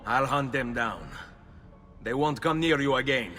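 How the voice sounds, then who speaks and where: An actor voicing a determined young assassin in a video game, speaking English in a resolute, measured way. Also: male voice, medium pitch, sharp tone, in a large hall. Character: young male Italian assassin, determined and steady. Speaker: lead hero.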